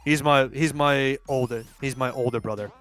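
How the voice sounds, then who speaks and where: A man's voice: medium pitch, fairly even intonation, moderate pace, a bit bright. Rambling, cursing man in his twenties. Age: 20s. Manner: rambling, swears often, restarts sentences. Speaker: co-player on livestream. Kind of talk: casual gaming chat.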